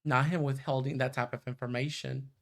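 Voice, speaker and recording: A man's voice: medium pitch, little pitch movement, a little fast. A man reading visual novel narration aloud as a streamer.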